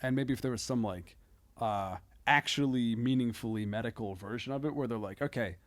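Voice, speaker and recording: A man with a medium-pitched voice chats casually as a podcast guest. He talks in a halting, self-interrupting way, circling back on his phrases with a comedian's loose, joking informality.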